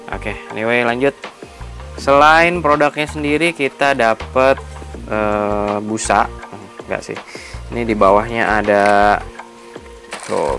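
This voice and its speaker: A man's voice: fairly low pitch, creaky, a bit bright. A man with a colloquial Jakarta Indonesian accent, chatting informally as he explains and unboxes gear, thinking aloud as he goes. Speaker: motovlogger, product unboxing host. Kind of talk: casual unscripted unboxing and tutorial chat.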